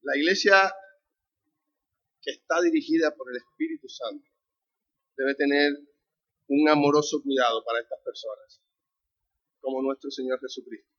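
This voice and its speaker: A man's voice: medium pitch, moderate pace, pauses often, fairly bright tone. A man from the Canary Islands with a Canarian Spanish accent, preaching a conversational sermon and putting questions to the congregation. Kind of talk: sermon with a family anecdote. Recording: dull lo-fi recording.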